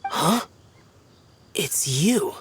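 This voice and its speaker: A man playing a stern, brooding immortal guardian in a video game, speaking gravely and formally over soft music. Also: a little high, lively intonation, very slow, slight background noise, exaggerated voice. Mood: aloof. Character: solemn, aloof male immortal guardian spirit, stern and brooding. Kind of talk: video game character acting.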